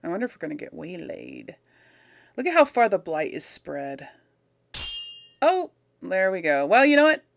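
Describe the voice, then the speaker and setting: A woman's voice: fairly low pitch, big pitch swings, normal speed, vocal fry, pauses often, no echo. A woman giving casual, rambling video game commentary to her audience, chatting to the characters as she plays. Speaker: host of a video game let's play.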